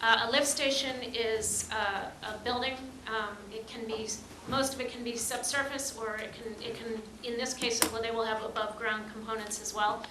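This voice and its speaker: A woman's voice: a little high, fairly even intonation, moderate pace, few pauses. A woman working as a town official, explaining at a public meeting in a matter-of-fact way. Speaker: town wastewater manager. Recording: echoey noisy meeting room recording.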